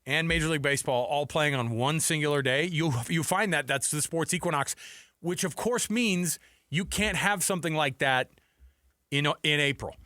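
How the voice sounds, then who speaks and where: A man's voice: on the high side, moderate pace, bright, forceful tone, dry recording, studio quality. A man hosting a sports radio show, arguing his points emphatically in casual, bantering debate. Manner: argumentative, emphatic.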